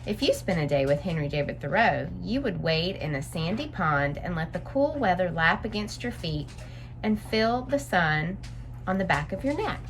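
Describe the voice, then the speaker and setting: Female story-time reader for kids: lowish voice, very animated intonation, explains things simply, noisy home-style recording. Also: normal speed. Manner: simple, explanatory, addressing young listeners. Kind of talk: read-aloud storytelling with explanatory asides for children.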